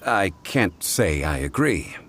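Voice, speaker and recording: Game casting: courteous, learned gentleman; very low voice, measured and formal, composed yet richly inflected. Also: big pitch swings, soft background music, full character voice. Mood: composed, reasoning. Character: calm, erudite, courteous gentleman scholar. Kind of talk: video game voice acting.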